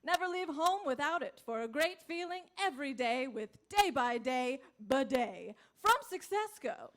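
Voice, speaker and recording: A woman's voice: high voice, animated, moderate pace, a bit bright. A woman playing a chirpy, pushy saleswoman in a parody radio commercial. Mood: peppy, salesy. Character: peppy, pushy infomercial saleswoman.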